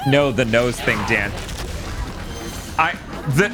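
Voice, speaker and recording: A man's voice: medium pitch, expressive, takes it slowly, music in the background. A man from the United States hosting a game stream, chatting casually and joking, with frequent laughs and swearing. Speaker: streamer host. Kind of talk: casual chat over gameplay.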